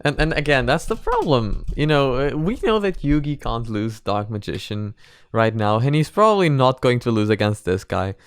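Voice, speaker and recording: A man's voice: medium pitch, sing-song, moderate pace, clear, resonant tone, dry recording, studio quality. A man giving casual, rambling commentary for a reaction video, circling back over his points. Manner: rambling, repetitive analysis.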